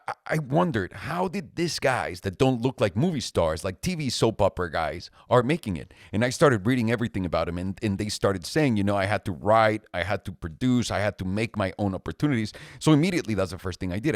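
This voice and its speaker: A man's voice: on the low side, no echo, studio quality. A man, co-hosting a podcast in a chatty, joking way. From Mexico. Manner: joking, talkative, laughs often. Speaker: podcast co-host. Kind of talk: casual chat.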